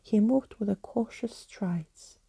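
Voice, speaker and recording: A woman narrating a storybook in a soft, deliberate ASMR whisper. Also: medium pitch, creaky, breathy edge, no echo. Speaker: ASMR host reading a story aloud.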